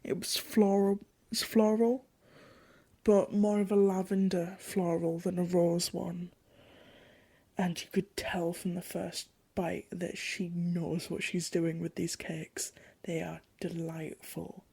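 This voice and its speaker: Casting: woman as wry, curious traveller keeping an audio diary; husky, mellow, unhurried, informal and hesitant. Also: fairly low pitch, flat delivery, no room sound, full character voice. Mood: fond, curious. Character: chatty, curious female traveller journaling a strange new world. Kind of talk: audio drama diary monologue.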